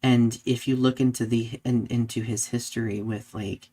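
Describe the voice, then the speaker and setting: Casual male podcast co-host, halting delivery. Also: mid-range voice, little pitch movement, warm tone, breathy edge. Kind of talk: casual discussion, reading viewer comments.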